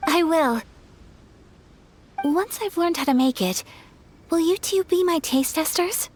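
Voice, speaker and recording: A woman voicing a polite, graceful young noblewoman in a video game, speaking formally and softly. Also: very high voice, measured pace. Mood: hopeful. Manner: formal, soft-spoken, courteous.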